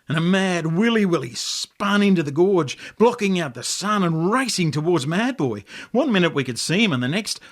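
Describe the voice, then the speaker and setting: An Australian man reading a story as dramatic narration, in measured, poetic phrases with deliberate pauses. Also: on the high side. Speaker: author reading own story.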